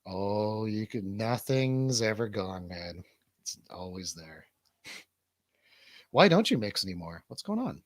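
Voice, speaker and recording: A man's voice: on the low side, big pitch swings, slow, long pauses, no room sound, studio quality. A man hosting a casual stream, asking questions and teasing in informal bro slang. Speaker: stream host interviewing a friend.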